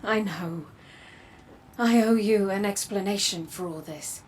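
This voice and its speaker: An actress playing a mysterious android warrior in a video game, a calm, grave mentor with measured, portentous delivery. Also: female voice, medium pitch, a little hiss or hum, close to an everyday voice. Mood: grave, persuasive. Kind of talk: video game cutscene acting.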